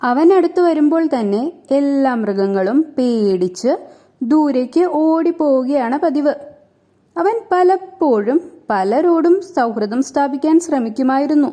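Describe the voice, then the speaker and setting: High-pitched, slow woman storyteller. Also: expressive, mellow. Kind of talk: scripted children's story narration.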